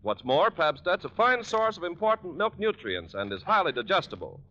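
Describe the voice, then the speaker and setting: A man's voice: sits high, very animated, moderate pace, bright, forceful tone. A man reading a scripted 1940s radio advertisement as a polished, salesmanlike announcer. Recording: muffled 1940s radio broadcast recording.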